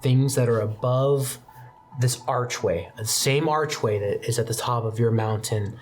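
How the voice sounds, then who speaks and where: A man narrating a tabletop roleplaying game as game master, setting scenes in a measured way and dropping soft for quiet details. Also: medium pitch, slight background noise.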